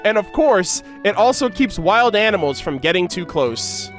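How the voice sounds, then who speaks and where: A man's voice: high-pitched, very animated, normal speed, a bit bright. A man voicing a proud old priest who tells legends theatrically in a storybook read-aloud. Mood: boastful. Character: boastful old male priest telling fireside legends. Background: music.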